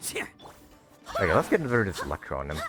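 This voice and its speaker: Low-pitched, animated male gamer. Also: normal speed. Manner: easygoing, thinking aloud. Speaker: gaming streamer. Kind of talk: casual let's-play commentary. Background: soft game music.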